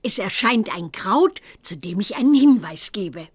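A woman voicing a stern old witch examiner who teaches herbalism in a children's computer game, theatrical and deliberate. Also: mid-range voice, expressive, husky, no echo, clean studio sound. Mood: instructive.

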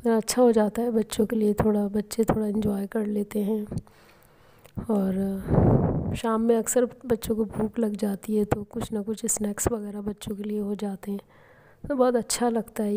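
A middle-aged woman calmly narrating her home cooking and daily routine vlog. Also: mid-range voice. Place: home kitchen and rooms.

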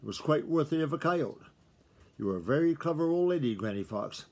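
A man voicing a mischievous male coyote who speaks with mocking politeness, slightly husky. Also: a little high, animated, fairly quick. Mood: mock-polite.

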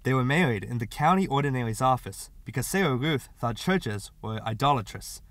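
A man's voice: mid-range voice, lively intonation, a little fast, a bit bright, no echo. A man narrating a short story as a scripted audiobook reading.

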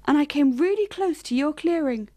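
A woman's voice: sits high, animated, normal speed, no room sound, pitched above the performer's own voice. A woman voicing a kind, earnest grown male forest animal who is a father. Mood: grave. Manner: gentle, helpful, earnest. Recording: soft music playing behind.

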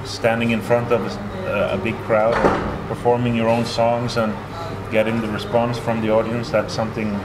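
A middle-aged man with a Norwegian accent talks through interview answers in a halting, easygoing way, restarting phrases and filling gaps with uh and you know. He sits at a table mic in a small room, and a steady hum of noise runs under him. His pitch sits at an ordinary male level. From Norway. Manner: halting, repeats words, many fillers. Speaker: band member. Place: small room at a festival, indoors. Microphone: table mic in front.

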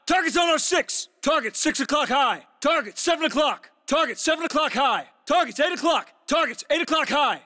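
An actor playing an urgent, battle-hardened special forces soldier in a military shooter game, barking clipped, commanding combat callouts. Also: male voice, very high-pitched, bright, forceful tone, long reverb tail, full character voice.

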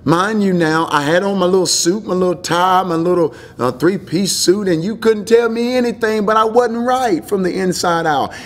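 Emphatic middle-aged preacher man. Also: high-pitched. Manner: earnest, emphatic, repeats phrases for emphasis. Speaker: pastor. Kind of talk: sermon, Bible teaching.

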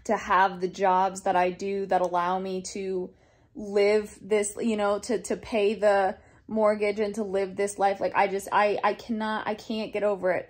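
A woman in her twenties talking to her phone camera at home in a casual daily vlog, earnest and rambling, with many restarts and fillers. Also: medium pitch. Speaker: daily vlogger. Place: living room at home, indoors. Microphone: phone camera held close.

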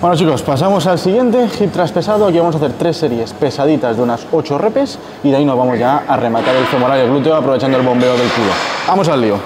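A young man, giving energetic, joking gym vlog commentary while a friend films him in a large indoor gym. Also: medium pitch, fast. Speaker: fitness vlogger and coach. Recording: loud music over the voice. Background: loud gym music.

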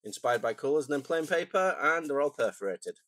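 A man, croaky and phlegmy from a cold, chatting casually and rambling through a stationery unboxing at his desk. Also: fairly high pitch, lively intonation, fairly quick, a bit bright, dry recording. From England. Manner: rambling, self-deprecating, chatty asides. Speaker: stationery vlogger. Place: home desk, indoors.

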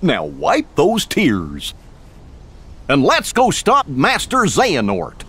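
A goofy cartoon dog sidekick in a game. The voice is husky and kindly, swooping wildly in pitch, folksy and encouraging. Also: male voice, wildly swooping pitch, slow, fairly bright tone, full character voice. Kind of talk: cartoon acting in a video game.

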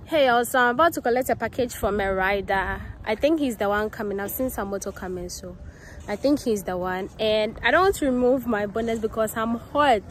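A woman's voice: on the high side, fairly quick, slight background noise. A young woman, chatting casually to viewers while filming herself on her phone. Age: young adult. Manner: relaxed, chatty, talks to viewers directly. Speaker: vlogger. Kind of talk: casual vlog talk to camera. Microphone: phone camera at arm's length.

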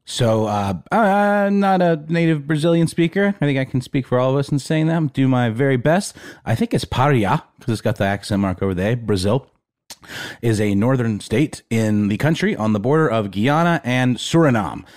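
A man's voice: mid-range voice, lively intonation, normal speed, darkish tone. A man co-hosting a podcast, talking casually with frequent fillers and playful asides. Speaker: podcast co-host. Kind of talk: casual conversational podcast talk.

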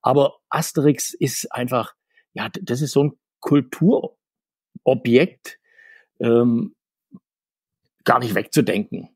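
A man's voice: medium pitch, takes it slowly, pauses often, a little mellow, breathy edge, no echo. A man in his sixties, a guest on a video-call interview, talking in a rambling, chatty way and laughing between points. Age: 60s. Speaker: interview guest, comics marketer. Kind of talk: casual interview talk. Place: home room full of figures.

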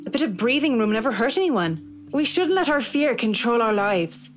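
A woman plays a polite young working woman in a visual novel. Her voice sits a little high, and she speaks with reserved, measured courtesy. Soft music is faintly audible. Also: animated, lightly acted. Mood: frank.

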